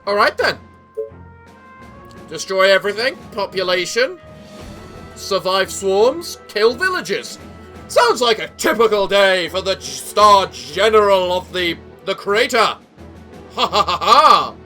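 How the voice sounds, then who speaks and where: A young English man giving casual let's-play commentary in a theatrical gentleman persona, a little sick, with a voice that sounds off. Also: high voice, sing-song, takes it slowly, faint music behind. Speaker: gaming YouTuber.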